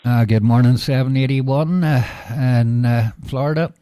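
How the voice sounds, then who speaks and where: Mellow, dry-voiced Northern Irish man. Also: mid-range voice, clean tone, no echo. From Northern Ireland. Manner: measured, filler-heavy, signs off with "go ahead". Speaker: radio operator. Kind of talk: casual radio chat.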